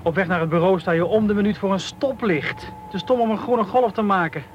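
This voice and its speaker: An actor playing a cynical, teasing Dutch police detective in a naturalistic radio play, talking in a jokey, slangy way. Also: male voice, high voice, brisk, highs cut off, close to an everyday voice. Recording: radio drama with background noise.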